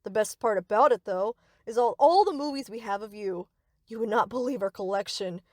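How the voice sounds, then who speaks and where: A woman's voice: mid-range voice, fairly quick, darkish tone, dry recording, clean studio sound, natural voice. A woman playing a young yandere captor in a scripted roleplay, speaking to the listener with cutesy, rambling, possessive affection. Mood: adoring, excited. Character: sweet, obsessive yandere best friend, young woman captor.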